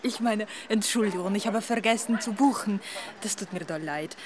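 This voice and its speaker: An actress playing a flustered, apologetic, scatterbrained waitress, hesitant and eager to please, in a naturalistic audio drama. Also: female voice, mid-range voice, normal speed, crowd noise, close to an everyday voice.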